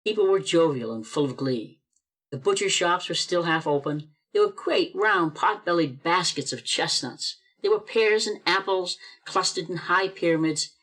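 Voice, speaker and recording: A woman narrating a radio play over a video call, telling the story in a measured, expressive way. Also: sits low.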